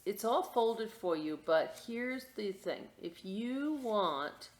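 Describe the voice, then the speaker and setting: A woman hosting a children's story time and craft demonstration, chatting to young listeners in a playful, expressive, informal way with asides. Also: mid-range voice, slow pace. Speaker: library story time host reading picture books and showing a craft.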